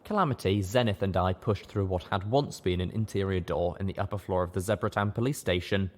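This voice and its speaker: A man narrating a fan-written novel aloud as an audiobook, in a steady, earnest reading. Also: sits low, fairly quick. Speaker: audiobook reader.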